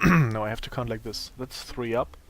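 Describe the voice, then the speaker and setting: A man with a raspy, tired voice giving casual gameplay commentary, thinking aloud as he plays. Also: medium pitch, a bit bright. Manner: slow, thinking aloud while playing. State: voice strained from a long day of talking.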